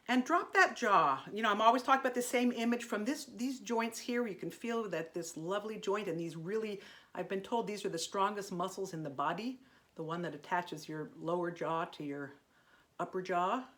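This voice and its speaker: A woman teaching an online singing lesson in a gentle, chatty way, her voice a little congested from allergies. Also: medium pitch, normal speed. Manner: gentle, chatty, demonstrating vocal sounds.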